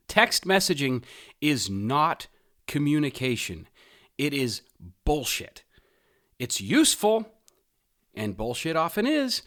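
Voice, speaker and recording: Man with very animated, swooping delivery. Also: fairly high pitch. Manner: emphatic, drawn-out stresses, mild swearing; rambling with wry self-deprecating asides. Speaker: podcast host. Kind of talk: casual opinionated monologue.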